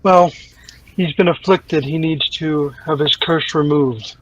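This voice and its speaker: A man playing a tabletop game in character, speaking in a slow, formal, slightly archaic way. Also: on the high side, steady tone, no echo, dull audio. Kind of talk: in-character roleplay, formal speech.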